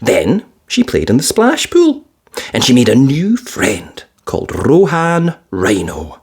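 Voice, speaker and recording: A woman reading a children's picture book aloud as storyteller, expressive and playful with sound words. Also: very deep voice, takes it slowly, slight background noise.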